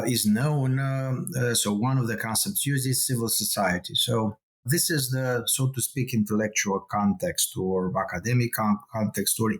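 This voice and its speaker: A middle-aged man with a Romanian accent, a guest scholar answering interview questions in a measured, halting way with many pauses and fillers. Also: mid-range voice.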